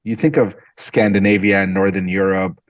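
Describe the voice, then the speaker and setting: A man from Syria, a guest entrepreneur, telling stories in an animated, conversational interview and often repeating words as he starts a sentence. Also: low voice, normal speed, no room sound, narrow, tinny audio.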